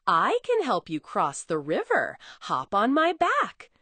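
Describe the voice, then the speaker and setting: A woman voicing a sly, clever male fox in a bedtime story, coaxing with drawn-out words. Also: mid-range voice, wildly swooping pitch, bright, forceful tone, breathy edge, dry recording, full character voice. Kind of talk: children's bedtime storybook character.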